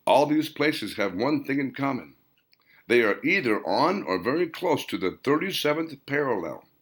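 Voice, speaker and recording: A man's voice: medium pitch, very animated, fairly bright tone, voice pushed up. A man voicing a scholarly man who earnestly lectures on ancient languages and lost places. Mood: lecturing. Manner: earnest, explanatory, measured.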